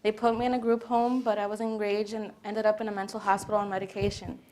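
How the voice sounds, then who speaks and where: A woman's voice: medium pitch, little pitch movement, fast, a little mellow, rough recording. A young American woman reading a first-person testimony as a plain readers theater monologue. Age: young adult. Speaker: student performer.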